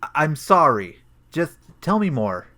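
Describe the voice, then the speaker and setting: A man playing an awkward, joke-prone police detective in a comedic audio play, hesitant and deadpan as he rambles. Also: a little high, measured pace, heavily acted. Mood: flustered.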